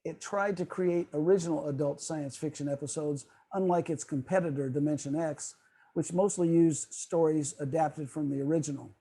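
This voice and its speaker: A man presenting a semi-scripted talk on a video call, explaining in a measured way with occasional stumbles as he reads. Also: fairly high pitch, steady tone, warm tone. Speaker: club presenter on old-time radio.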